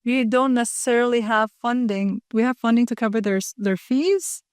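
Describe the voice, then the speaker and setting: A woman educator in an interview, talking fast and earnestly, explaining her ideas through examples. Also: on the high side, smooth, no echo, clean studio sound. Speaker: guest educator. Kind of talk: conversational interview.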